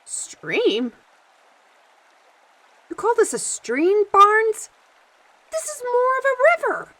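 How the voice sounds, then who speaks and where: A woman playing a cheeky, excitable fantasy adventurer in an audio drama, with teasing, wordy, folksy phrasing. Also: extremely high pitch, slow, exaggerated voice. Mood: startled.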